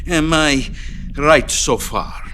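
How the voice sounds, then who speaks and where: An actor playing a powerful older Soviet leader in a game cutscene, grave, formal and threatening. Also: male voice, fairly high pitch, slow pace, bright, forceful tone, slight background noise. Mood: indignant. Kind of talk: dramatic video game cutscene acting. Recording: dramatic music behind the voice.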